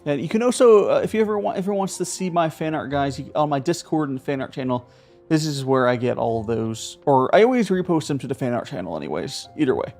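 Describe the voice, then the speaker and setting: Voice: mid-range voice, expressive, fairly quick, a little mellow. Casual livestreamer chatting over game music. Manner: echoes and mimics game dialogue playfully. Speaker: live streamer. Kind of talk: casual gameplay livestream chat. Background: video game music and dialogue.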